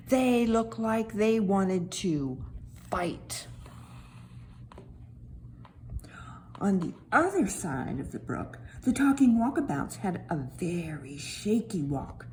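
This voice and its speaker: A woman voicing a childlike, tender-hearted talking stone that tells a children's Bible story with dramatic, hushed and emphatic delivery. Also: mid-range voice, a little hiss or hum. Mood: wondering.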